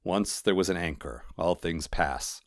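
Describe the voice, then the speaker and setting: Man playing an ancient, solemn, very low-voiced golem. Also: gravelly, no room sound, studio quality. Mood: solemn, resigned. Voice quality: rumbling. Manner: blank, solemn, formal, unhurried. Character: ancient solemn clay golem messenger, weary and fatalistic.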